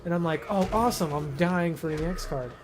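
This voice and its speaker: A man's voice: on the high side, fast talker. A young American man telling stories casually to a friend, rambling and slangy, often repeating himself. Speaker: video host. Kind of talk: casual storytelling chat with a friend.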